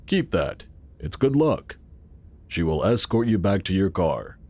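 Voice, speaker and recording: Deep-voiced, animated cult-like founder. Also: male voice, unhurried, a little hiss or hum, full character voice. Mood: courteous, reassuring. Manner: courteous, reassuring, persuasive. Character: assured male founder of a futuristic secret city. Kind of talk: audio drama acting.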